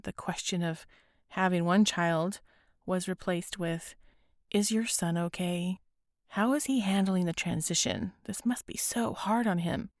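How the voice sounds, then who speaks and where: A woman hosting a podcast, speaking earnestly and gently with a raspy, hoarse voice. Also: on the low side, no echo, studio quality. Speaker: podcast host. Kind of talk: scripted podcast intro and interview.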